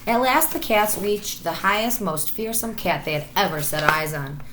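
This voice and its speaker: A woman teacher reading a children's story aloud with expressive narration. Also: mid-range voice, moderate pace, reverberant, background noise.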